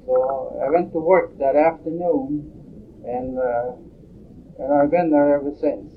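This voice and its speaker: Older man, slow halting tape recording. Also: on the high side, fairly even intonation. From Norway. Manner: halting, many pauses and fillers. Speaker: interviewee. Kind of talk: oral history interview. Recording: tinny lo-fi tape, faint hiss.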